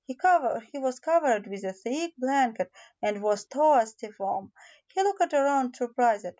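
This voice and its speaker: A woman narrating a children's fairy tale in a deliberate storybook manner, with small hesitations. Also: sits high, lively intonation, darkish tone, muffled recording. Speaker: audiobook narrator.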